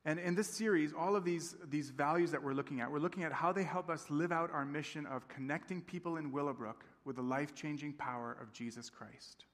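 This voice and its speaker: A man's voice: on the high side, a little fast, few pauses. A man in his thirties, a campus pastor raised in Tanzania, preaching a sermon in a friendly, conversational way and often checking in with the congregation. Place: large church sanctuary. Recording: long reverb of a church hall.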